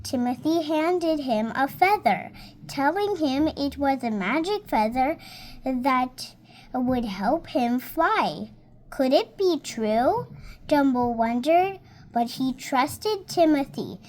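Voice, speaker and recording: A girl of about seven reading a storybook aloud in a careful, halting, word-by-word way. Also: sits high, lively intonation, darkish tone. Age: child, about seven. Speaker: young reader.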